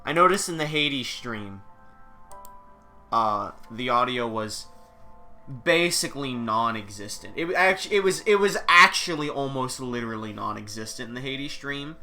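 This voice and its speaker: A man streaming a video game live, chatting casually in a nasal, congested voice, rambling excitably in gamer slang. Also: medium pitch, normal speed, soft background music. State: congested from a cold. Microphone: desk mic.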